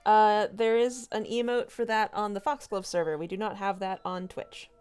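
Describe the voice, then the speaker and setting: A woman's voice: medium pitch, fairly even intonation, moderate pace, a little mellow, clean tone. Casting: female stream co-host, mid-range voice, informal and conversational, faint music underneath. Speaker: co-host of comic read-along stream. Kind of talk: casual chat.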